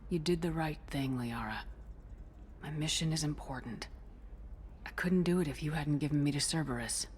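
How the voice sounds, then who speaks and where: A woman playing a steady, commanding military officer in a video game, speaking in a direct, controlled way. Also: deep voice, normal speed, a little hiss or hum, lightly acted. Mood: reassuring. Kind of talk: cinematic video game voice acting.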